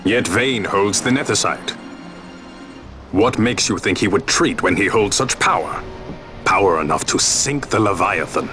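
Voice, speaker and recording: A man's voice: fairly low pitch, lively intonation, over music. An actor playing a grave nobleman who advises rebels in formal, old-fashioned speech. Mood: wary. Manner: formal, archaic, measured speech. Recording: game cutscene with loud orchestral score.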